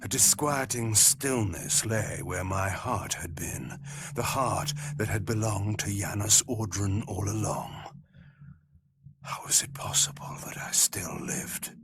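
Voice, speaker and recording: An actor voicing a solemn, noble undead being in a video game, grave and deliberate in his monologue. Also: on the low side, a bit bright, husky, exaggerated voice. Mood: somber. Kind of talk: dramatic game acting and monologue.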